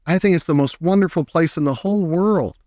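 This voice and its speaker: Storybook child, played by a man: earnest, exclamatory small boy with a raised, light pitch. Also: a little fast, darkish tone, no room sound, clean studio sound, lightly acted. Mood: awed, eager. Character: sweet, wide-eyed little boy, earnest and concerned.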